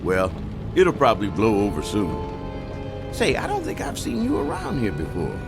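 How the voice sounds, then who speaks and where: Very animated, nosy cabbie. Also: male voice, on the low side, moderate pace, vocal fry, music in the background, full character voice. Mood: chatty, nosy. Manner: folksy, talkative, prying. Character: nosy, chatty small-town male cab driver. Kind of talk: radio drama acting.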